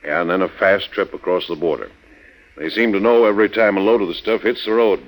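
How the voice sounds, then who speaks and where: An actor playing a genial, seasoned older sheriff in a 1940s radio drama, plain-spoken and American. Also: male voice, sits low, fast, rough recording. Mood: concerned, earnest.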